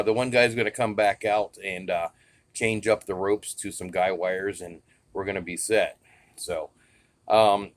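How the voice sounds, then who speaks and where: A man's voice: on the low side, no room sound. An American man narrating a hobby vlog in a casual, plain-spoken, informal way. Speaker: radio hobbyist vlogger.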